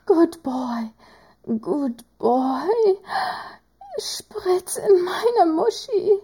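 A woman voicing a teasing, doting woman doctor in an adult audio roleplay, speaking in a slow, whispery, drawn-out way. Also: high voice, sing-song, warm tone, full character voice. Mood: sultry teasing.

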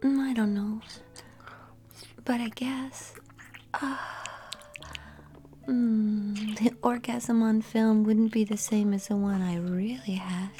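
A woman acting an affectionate, aroused lover in an intimate adult audio roleplay, speaking in a breathy, halting whisper with sighs between phrases. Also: mid-range voice, fairly even intonation, mellow, soft background music, lightly acted. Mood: flirty, coy. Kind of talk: intimate erotic audio roleplay addressed to the listener.

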